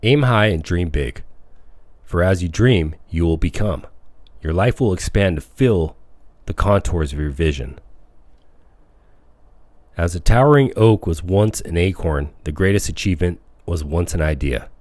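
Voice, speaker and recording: A man reading a self-help audiobook as scripted narration, with measured, declamatory phrasing. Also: low-pitched, lively intonation, a little mellow, dry recording. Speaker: audiobook reader.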